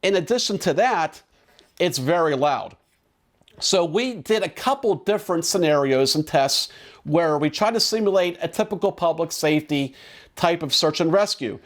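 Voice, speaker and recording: A man presenting drone equipment in a casual, conversational, explanatory product demo. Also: fairly high pitch.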